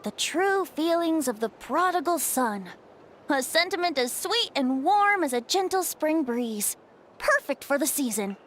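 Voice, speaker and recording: A woman's voice: high-pitched, lively intonation, a little slow, a bit bright, slight background noise. A woman voicing a playful, boyish young male bard in a video game, light and youthful, with a theatrical, teasing lilt. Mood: poetic.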